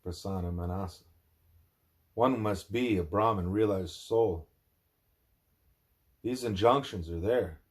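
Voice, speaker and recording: A man narrating a spiritual text aloud in deliberate, emphatic phrases. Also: low-pitched, a little mellow. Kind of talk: scripted narration, broken by asides to a small child.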